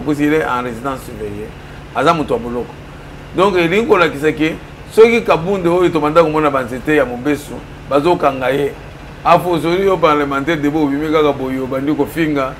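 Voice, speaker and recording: A middle-aged Congolese-accented man lays out political arguments in an interview, switching between Lingala and French. His voice sits a bit high and his points land with emphasis. He speaks in a small office room over a faint hum of ambience. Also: a bit bright, poor audio. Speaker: political commentator. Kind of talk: studio interview.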